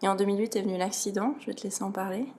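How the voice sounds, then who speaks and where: A woman hosting a podcast, reading a scripted introduction and asking interview questions in a clear, measured way. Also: medium pitch, flat delivery, darkish tone. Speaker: podcast host.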